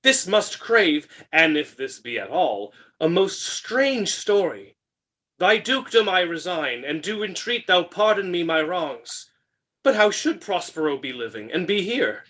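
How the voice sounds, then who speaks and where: A man's voice: high voice, very animated, a little slow, a bit bright, heavily acted. A man reading the part of a grieving, bewildered shipwrecked king in an unrehearsed Shakespeare play, with dramatic declamation. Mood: awed, repentant.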